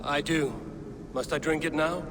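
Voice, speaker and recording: A man's voice: a little high, steady tone, moderate pace, noisy background, close to an everyday voice. An actor playing a proud, wrathful Norse god king in a video game, speaking in a grave, commanding, theatrical manner. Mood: stern, solemn. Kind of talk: dramatic video game cutscene acting.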